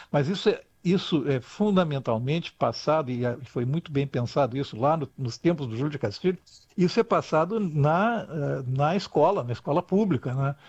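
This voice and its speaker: A man's voice: on the high side, expressive, normal speed, a little mellow, no room sound. Older man, talkative and dull-toned. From Rio Grande do Sul, Brazil. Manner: rambling, lots of restarts and "tá?" tag questions. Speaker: guest expert. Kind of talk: conversational interview. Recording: dull lo-fi dry sound.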